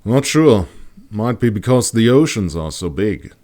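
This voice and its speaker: A man's voice: on the low side, sing-song, measured pace, dark tone, pitched above the performer's own voice. A man playing a well-read, plain-spoken male ranger in a fantasy audiobook, explaining matter-of-factly.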